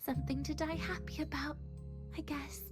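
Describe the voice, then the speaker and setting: An actress playing a resigned, melancholy young woman in a video game, speaking softly and haltingly in a wistful way over loud music. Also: high voice. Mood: bittersweet. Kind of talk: dramatic game voice acting.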